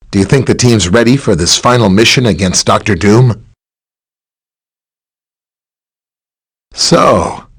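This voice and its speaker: An actor playing a helpful, earnest scientist superhero in a video game, explaining technical matters in a friendly way. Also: male voice, lowish voice, slightly rough. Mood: casual, curious. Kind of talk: scripted game dialogue, explaining and briefing.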